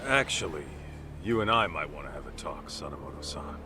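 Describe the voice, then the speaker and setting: An actor playing a gruff, easygoing male street detective in a game cutscene, talking bluntly and slangily. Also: rumbling low, expressive, a little fast, noisy background. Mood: measured, serious. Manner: blunt, casual slangy talk. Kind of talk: dramatic game cutscene dubbing.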